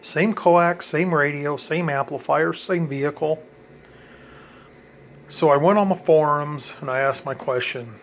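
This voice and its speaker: A man's voice: a little high, unhurried, fairly bright tone, a little hiss or hum. A man in a casual how-to vlog, chatting about his radio setup with lots of ums and self-corrections. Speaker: hobby radio vlogger.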